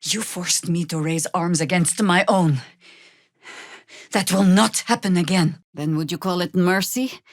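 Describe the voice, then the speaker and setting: Fantasy game druid veteran, female: world-weary, wry, very slow and measured, close dry sound. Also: on the low side, dry recording. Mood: stern, grave. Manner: dry, sardonic, measured delivery. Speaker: video game voice actor. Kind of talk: scripted game character dialogue and spell calls.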